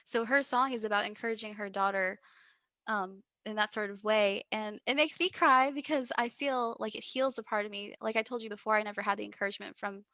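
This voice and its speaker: A young woman with a Texas accent, a musician chatting as a guest in a relaxed interview, bubbly and giggly. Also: mid-range voice, fairly quick. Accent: Texan. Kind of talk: casual interview chat.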